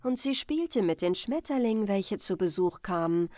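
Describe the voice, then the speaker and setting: A woman reading a bedtime fairy tale as a storyteller, in a gentle, expressive manner. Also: mid-range voice, normal speed, no room sound, studio quality.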